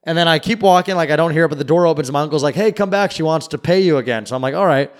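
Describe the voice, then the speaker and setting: A man's voice: a little high, rapid-fire. A man casually telling a long, rambling story on a podcast and swearing freely. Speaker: podcast co-host. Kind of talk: casual chat.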